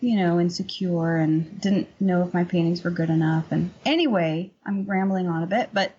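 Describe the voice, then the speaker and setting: A middle-aged woman with a husky voice, talking candidly and informally as an interview guest, rambling a little. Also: on the low side, normal speed, mellow, lo-fi sound, a little hiss or hum. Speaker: painter, podcast guest. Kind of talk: casual interview chat.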